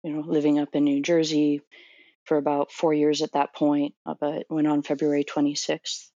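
A woman's voice: low-pitched, monotone, normal speed, dark tone, no echo, lo-fi sound. A woman in her thirties from Florida, a doctoral student answering interview questions thoughtfully, with many fillers and restarts. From Florida, USA.

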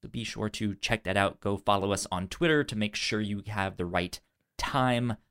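A man's voice: fairly low pitch, a bit bright, clear, resonant tone. Stammering man hosting a casual podcast. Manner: halting, stammers and repeats syllables. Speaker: podcast co-host. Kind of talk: casual movie podcast chat.

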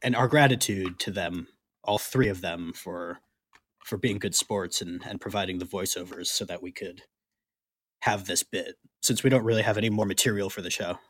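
A man co-hosting a casual sports podcast, explaining things in a wry, measured way. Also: low-pitched, a bit bright, no echo. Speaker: podcast co-host.

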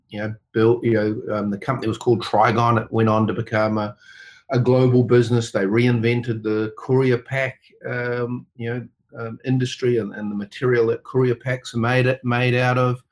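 Halting New Zealand man in conversation. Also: medium pitch, level tone. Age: middle-aged. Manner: halting, rambling, many "you know"s. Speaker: interview guest, tech company co-founder. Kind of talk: conversational interview answers.

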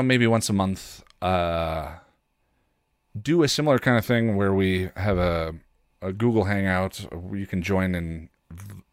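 Male podcast host, low voice, dry close-mic sound, informal and halting, working through thoughts aloud. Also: dry recording. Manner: rambling, halting, thinking aloud. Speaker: podcast host and content creator. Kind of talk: casual solo podcast chat.

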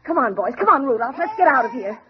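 A spirited woman from a newspaper office, feisty and loyal, with a sharp tongue. Her delivery swings expressively in old radio drama style, heard through a muffled vintage recording. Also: sits high, big pitch swings, fast talker. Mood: indignant.

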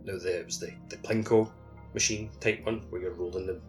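A man's voice: deep voice, steady tone, a little fast, soft background music. A man co-hosting a casual video game podcast over a voice call, rambling and tentative in his phrasing. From Scotland. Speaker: podcast co-host. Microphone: voice call over the internet.

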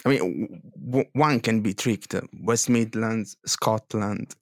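A man with an Italian accent, co-hosting a news podcast in a halting way, with many restarts and fillers. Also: fairly low pitch, no room sound, studio quality. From Italy. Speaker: podcast co-host. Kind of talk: casual policy news chat.

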